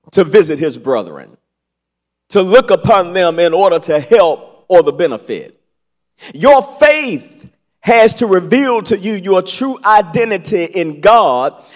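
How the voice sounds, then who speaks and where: High voiced man preaching slow, dramatic pauses, big echoey sanctuary. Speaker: pastor. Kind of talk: sermon. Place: large church sanctuary.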